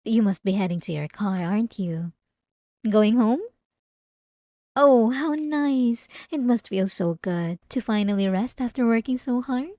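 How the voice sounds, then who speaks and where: A woman playing a sweet, devout, obsessive young church girl in an ASMR roleplay, speaking haltingly with apologetic, feigned innocence. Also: a little high, expressive, warm tone, dry recording, studio quality. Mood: delighted. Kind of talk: ASMR roleplay drama, addressed to the listener.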